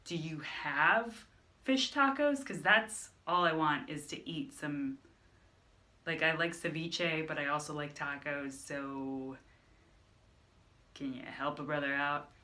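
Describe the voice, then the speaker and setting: Woman playing a low-voiced male customer, bright, pleading, chatty. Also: fairly even intonation, takes it slowly, full character voice.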